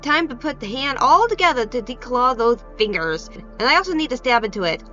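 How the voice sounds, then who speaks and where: A woman's voice: a little high, big pitch swings, brisk, lo-fi sound. A woman narrating her craft project in an informal, playful and self-deprecating voice-over, hesitant in places. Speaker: craft video creator. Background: background music.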